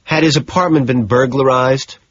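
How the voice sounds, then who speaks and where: A man's voice: medium pitch, steady tone, moderate pace, no echo, dull audio, voice pushed up, natural voice. A man voicing a vain, evasive young Wall Street businessman in an audiobook. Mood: probing. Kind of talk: audiobook character dialogue.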